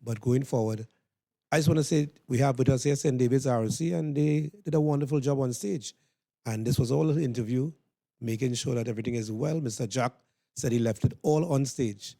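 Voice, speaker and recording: A man's voice: mid-range voice, fairly quick, gravelly, dark tone, dry recording. A man with a Trinidadian accent, interviewing as an encouraging event host on a live broadcast.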